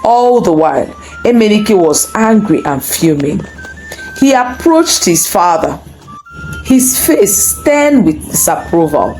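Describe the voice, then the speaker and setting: A woman narrating a scripted folktale in an expressive, deliberate storytelling manner. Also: mid-range voice, soft background music, rough recording.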